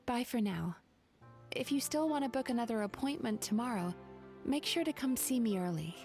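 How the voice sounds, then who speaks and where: A woman voicing a gentle, perceptive young psychologist in an anime-style video game, soothing and measured. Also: a little high, lively intonation, music in the background. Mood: gentle, reassuring.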